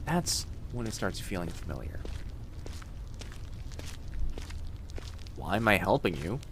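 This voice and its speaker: A man voicing a friendly, gently teasing young fox-spirit college student in an immersive roleplay audio, speaking casually and reassuringly to the listener. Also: low voice, big pitch swings, brisk, a little hiss or hum, exaggerated voice. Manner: soft-hearted, reassuring, casual conversational delivery. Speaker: voice actor.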